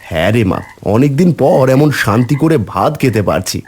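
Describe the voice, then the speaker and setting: Man voicing a kindly, expressive poor fisherman father. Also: mid-range voice, a little fast, slightly rough. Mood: contented. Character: poor, kindly village fisherman father, weary yet gentle. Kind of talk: animated fairy-tale cartoon dialogue.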